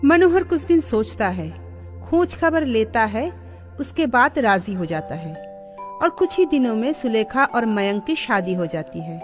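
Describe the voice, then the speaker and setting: High, animated woman storyteller over music. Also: a little slow. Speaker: narrator. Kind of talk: scripted storytelling narration. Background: background music.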